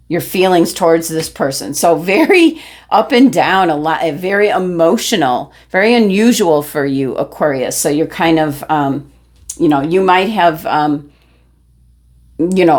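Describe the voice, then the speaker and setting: A woman with a hoarse, fading voice, casually talking through a tarot reading with many fillers and restarts. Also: sits low, darkish tone. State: losing her voice, throat clearing. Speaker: tarot reader.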